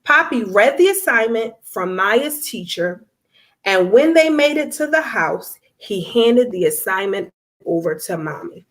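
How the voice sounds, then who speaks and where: A woman's voice: mid-range voice, very animated, a little slow, fairly bright tone. A woman reading a children's storybook aloud expressively, speaking directly to her young listeners.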